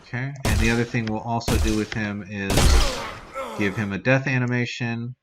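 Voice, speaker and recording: A man giving a casual software tutorial as an instructor, explaining in an informal, rambling way. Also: medium pitch, fairly even intonation, normal speed. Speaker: game development instructor. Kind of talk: casual screen-recorded software tutorial walkthrough. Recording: dull lo-fi mic with background noise.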